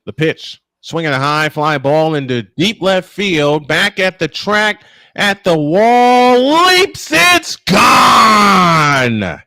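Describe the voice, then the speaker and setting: A man's voice: on the high side, sharp tone, no room sound, studio quality. An American man doing live baseball play-by-play mixed with casual banter. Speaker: play-by-play commentator.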